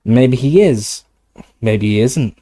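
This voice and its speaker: A man's voice: mid-range voice, slow, mellow. Brief: joking young radio guest, wry and playful, a man reading the part. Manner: wry and jokey.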